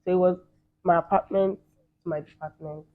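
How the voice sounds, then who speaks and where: A Nigerian man in the entertainment field giving quick, emphatic opinions in a casual interview. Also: high-pitched, steady tone. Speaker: guest, entertainer. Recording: thin phone-like sound.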